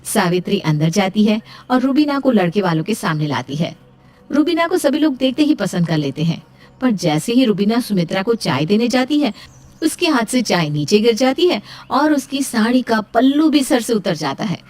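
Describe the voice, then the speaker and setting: A woman's voice: a little high, big pitch swings, normal speed, a little mellow. A woman narrating a scripted story as a storyteller.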